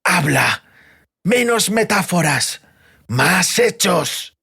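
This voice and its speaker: Man playing a slow, sneering villainous interrogator. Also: sits high, very animated, gravelly, bright, forceful tone, breathy edge, heavily acted. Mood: sneering impatience. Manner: deliberate, sneering, threatening. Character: sadistic male interrogator, mocking, coldly menacing villain.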